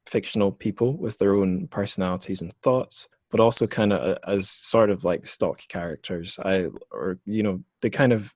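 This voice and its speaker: A man hosting a podcast interview, his voice very low and the recording dry with no room sound. He talks casually, full of ums and restarts as he gropes for his question. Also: normal speed, hardly any pauses, a little mellow, no room sound. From lived in China. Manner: rambling, many fillers and restarts. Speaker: podcast host.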